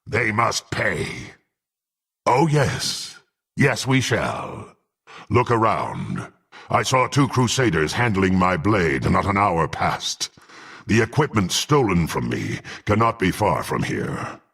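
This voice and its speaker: A menacing, proud half-orc fighter from a fantasy game. He talks slowly and formally in a gruff, husky voice, swinging from growls to haughty commands. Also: sits low, sing-song, a bit bright, exaggerated voice. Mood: vengeful, imperious. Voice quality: gruff, gravelly. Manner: haughty, threatening, formal archaic speech. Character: menacing proud half-orc warrior sworn to dark patron. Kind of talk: dramatic fantasy game voice acting.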